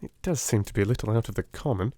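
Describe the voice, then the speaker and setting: A man's voice: on the low side, very fast, warm tone, no room sound, close to an everyday voice. A man playing a brilliant, brusque and self-assured detective in a dramatised audiobook, with a precise, lecturing manner. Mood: calm, dry. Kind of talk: dramatised audiobook character acting.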